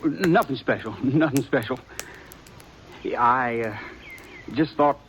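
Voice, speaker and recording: A man's voice: medium pitch, takes it slowly, a bit bright, a little hiss or hum. An actor in a 1950s radio western playing a nervous, stammering young townsman, heard on an old broadcast recording. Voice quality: stammering, hesitant. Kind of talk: 1950s radio drama acting.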